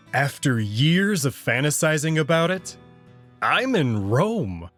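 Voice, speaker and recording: A man voicing an excitable young tourist, the first-person protagonist of a visual novel. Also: medium pitch.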